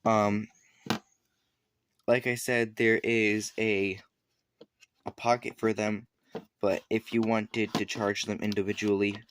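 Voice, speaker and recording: A man giving a casual, unscripted product demonstration, explaining things haltingly and correcting himself as he goes. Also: lowish voice, level tone, smooth, no room sound. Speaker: product reviewer.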